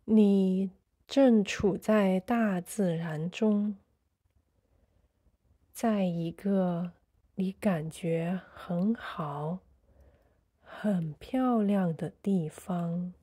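Very slow, mellow woman guiding meditation. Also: fairly low pitch, husky. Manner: soothing, measured, short phrases with pauses. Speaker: guided meditation narrator. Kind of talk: slow sleep meditation narration.

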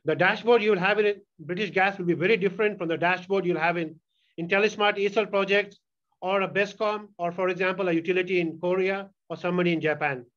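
A man giving a keynote presentation over a video call, walking through his slides in a steady, explanatory way. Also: sits high, fast, highs cut off. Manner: steady, explanatory slide walkthrough with occasional restarts. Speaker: keynote speaker, sales executive. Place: indoor room, on video call. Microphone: video call webcam mic.

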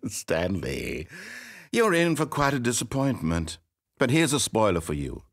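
Smug, wildly animated game narrator, male. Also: on the low side, vocal fry, full character voice. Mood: mocking scorn. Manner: condescending, deliberate, mock-courteous. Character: smug, sadistic, omniscient male storyteller, politely mocking. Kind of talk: theatrical scripted game narration.

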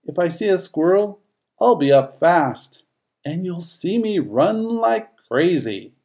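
A man narrates a children's picture book in rhyme. His voice is warm and relaxed with some creak at the edges. He takes his time, letting the pitch rise and fall expressively through each verse. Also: slow. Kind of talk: read-aloud rhyming picture book narration.

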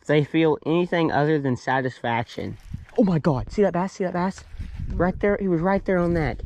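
A man's voice: a little high, a little fast, a little hiss or hum. Teen boy angler on a lake boat. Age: teens. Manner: excited, laughing, short remarks. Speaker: youth angler. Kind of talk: casual chat while fishing. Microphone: head-mounted action camera.

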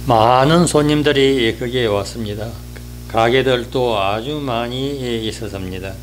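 Male columnist, 80s, mid-pitched, very slow and halting delivery of a reflective spoken column. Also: faint music behind. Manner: halting, hesitant, with frequent drawn-out fillers. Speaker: newspaper-style columnist giving a talk. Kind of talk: reminiscing monologue column. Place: indoors, small office or studio, seated.

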